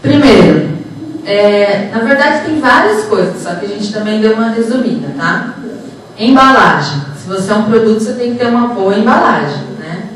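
A woman's voice: medium pitch, animated, normal speed. Heard through heavy room echo and some noise, a woman talks to an auditorium. She is in her thirties and lectures informally on a handheld mic. She keeps it conversational, often tossing short check-in questions to the listeners. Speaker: psychologist and HR professional giving a lecture. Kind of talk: informal motivational lecture to students. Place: auditorium, speaking to an audience. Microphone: handheld microphone through room speakers, distant recording. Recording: echoey hall from far off, noisy.

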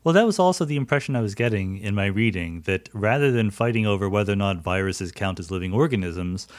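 A middle-aged man with a lowish voice hosts a podcast, asking curious, easygoing questions with fillers as he goes. The recording is close and dry, with no room sound around him. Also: lively intonation, quick pace, no echo. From United States. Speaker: podcast host. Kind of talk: conversational science interview.

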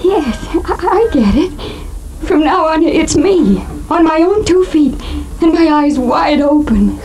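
A woman's voice: high voice, lively intonation, slow. An actress playing a distraught, vengeful young woman in a 1950s radio melodrama. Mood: subdued, lost. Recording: noisy 1950s radio recording.

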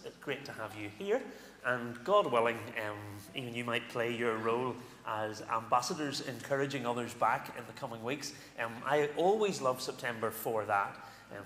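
A middle-aged man, speaking as a minister at a lectern in a large church, giving announcements and a call to worship with pauses and fillers. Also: mid-range voice, slight background noise. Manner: warm pulpit delivery with pauses and fillers. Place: large church sanctuary, indoors. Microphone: stand microphone at a lectern. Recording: echoey church hall from a distance.

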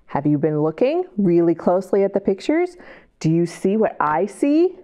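A middle-aged American woman hosting a library storytime, reading aloud to young children in an expressive, sing-song way and drawing them in with questions. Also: mid-range voice, normal speed. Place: indoor library children's area. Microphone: lapel mic.

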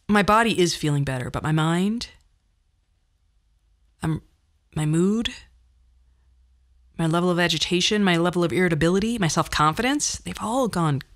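A woman in her fifties with a fairly low voice hosts a podcast monologue. She talks conversationally about her own life, with a wry, self-deprecating touch. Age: 50s. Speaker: podcast host. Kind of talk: personal storytelling monologue.

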